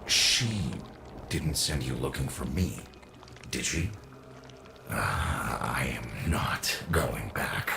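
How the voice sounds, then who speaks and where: An actor playing a gruff, weary old warrior father in a video game, gravelly, wry and wounded, with a halting delivery. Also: a bass voice, lively intonation, noisy background, exaggerated voice. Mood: wary, dismissive.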